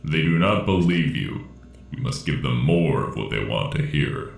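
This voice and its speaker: A cavernous, echoing voice, of an ancient cryptic alien in a sci-fi audio drama. It is extremely low and gravelly, with sweeping intonation, speaking in a measured, commanding way. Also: male voice, lively intonation, slight background noise, exaggerated voice. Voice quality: very deep, gravelly. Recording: echoey, distant, music behind.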